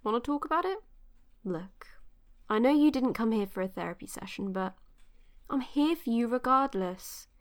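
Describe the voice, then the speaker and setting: Actress doing supportive tech friend in an audio drama, woman, kinda high voice, casual and wry, likes to tease. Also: expressive, normal speed, lightly acted. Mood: supportive, teasing. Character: supportive, teasing female tech expert, old friend. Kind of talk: naturalistic audio drama acting.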